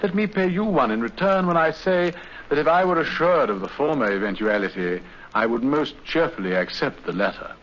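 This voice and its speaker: An actor playing a coolly confident master detective with a refined English accent, urbane and dryly ironic, in a 1950s radio drama. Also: male voice, on the high side, lively intonation, fairly quick, fairly bright tone. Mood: composed, cutting. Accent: English, Received Pronunciation. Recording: muffled, faintly hissy 1950s radio recording.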